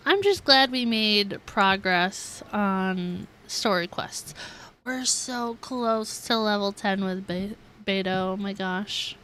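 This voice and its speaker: A woman's voice: medium pitch, slow pace, pushed and bright, smooth. A woman game streamer chatting casually with her viewers, thinking aloud in a giggly, chatty way as she plays. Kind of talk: casual livestream chat while playing a game. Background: background music.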